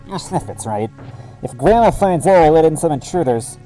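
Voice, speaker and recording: A man's voice: on the high side, expressive, moderate pace, mellow, faint music behind, pitched above the performer's own voice, close to an everyday voice, rough recording. A man voicing a timid, jittery male Sniffet doorkeeper with a nasal, whiny way of talking, in a storybook reading. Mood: nervous. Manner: anxious, whiny. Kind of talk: storybook voice acting.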